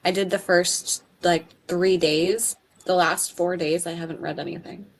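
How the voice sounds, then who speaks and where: Lower-voiced, low-energy woman. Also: steady tone, unhurried. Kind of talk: casual group chat on a video call.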